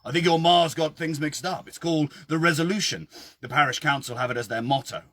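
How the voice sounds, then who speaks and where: Older storybook townsman, played by a man: wry, folksy, stoical, emphatic, raised pitch. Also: a little fast, a bit bright, no room sound. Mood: earnest, encouraging.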